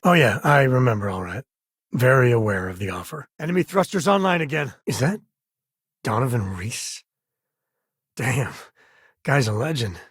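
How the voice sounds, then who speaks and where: A male actor playing an easygoing, wry and earnest spacefaring explorer in a video game, with a husky voice and casual, folksy delivery. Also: mid-range voice, no echo, clean studio sound. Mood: casual, matter-of-fact. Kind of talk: scripted game character dialogue.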